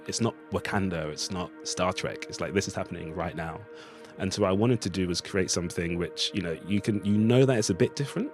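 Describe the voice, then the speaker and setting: A man's voice: low voice, a little mellow. A man giving a thoughtful, discursive interview as a writer, speaking in a reflective conversational way. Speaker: writer of a radio play, interviewee.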